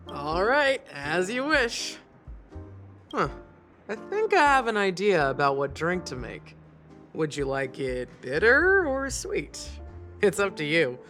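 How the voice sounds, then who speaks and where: A woman's voice: fairly low pitch, a bit bright, exaggerated voice. A woman voicing a wry, suave young bartender in a video game, theatrical and teasing, lingering on her words. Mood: inviting. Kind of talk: scripted game dialogue. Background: faint background music.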